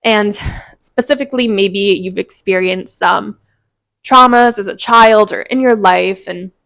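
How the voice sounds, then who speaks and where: A woman's voice: medium pitch, unhurried, vocal fry, fairly bright tone, no echo. A woman hosting a motivational podcast, talking earnestly and encouragingly straight to her listeners, a little congested. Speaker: podcast host.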